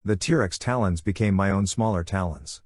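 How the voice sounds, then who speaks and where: Male audiobook narrator, lowish and husky, delivering scripted first-person action drama in a dry, close sound. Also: normal speed, darkish tone, no echo.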